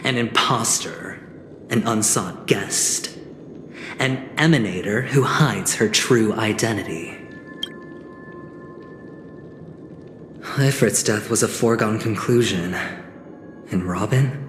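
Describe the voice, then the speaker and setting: A man voicing a smooth, cocky young schemer in a video game, theatrical and insinuating, talking like a teasing negotiator. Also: fairly low pitch, a little slow, noisy background. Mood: accusing, suspenseful. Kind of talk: dramatic video game voice acting. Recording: echoey reverb, music underneath. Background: game music.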